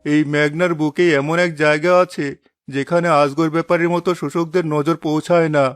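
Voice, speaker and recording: A man's voice: fairly high pitch, little pitch movement, fairly quick, clear, resonant tone, no room sound, faint music behind, close to an everyday voice. A man voicing a wise older village uncle in a cartoon, a firm and encouraging mentor to a younger fisherman. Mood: rousing. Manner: firm, encouraging, proverb-laden. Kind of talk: dramatised cartoon dialogue.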